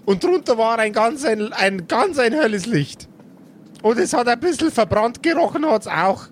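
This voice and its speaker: A man's voice: very high voice, expressive, normal speed, a little hiss or hum. A man voicing a naive, chatty schoolboy with a Bavarian accent who stammers and rambles, in an improvised tabletop audio play. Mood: excited.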